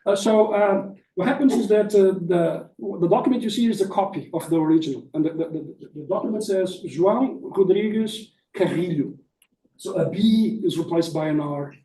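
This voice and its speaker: A man's voice: sits high, normal speed, dark tone. Male scholar in Q&A, explanatory, picked up at a distance in a reverberant conference room. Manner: explanatory, often tags points with "right?". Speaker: academic presenter answering questions. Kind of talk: spontaneous academic question-and-answer. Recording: echoey room, distant mic.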